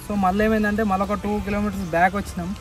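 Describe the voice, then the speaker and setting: A man's voice: sits high, level tone, very fast. A young man with a Telangana accent chatting casually and informally to a camera. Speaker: range-test helper. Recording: loud music behind.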